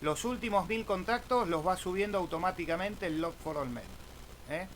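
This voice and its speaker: Man, slightly high voice, informal tutorial delivery, questioning tags, faint background noise. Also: normal speed. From Argentina. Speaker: amateur radio YouTuber. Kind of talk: casual screen-share tutorial.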